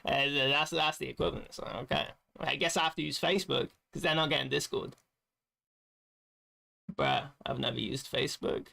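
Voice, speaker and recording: A man streaming a game, his voice raspy and thick with gravelly fry. He sounds tired and rambles loosely, dropping casual swears as he chats. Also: on the high side, steady tone, moderate pace, bright, forceful tone. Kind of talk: casual gaming stream chat.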